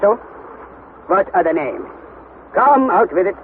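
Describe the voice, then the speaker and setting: An actor playing a pompous, excitable older professor and uncle in a theatrical, declamatory 1960s radio drama style. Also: male voice, high-pitched, measured pace. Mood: surprised, amused. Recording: noisy, narrow-band vintage radio recording.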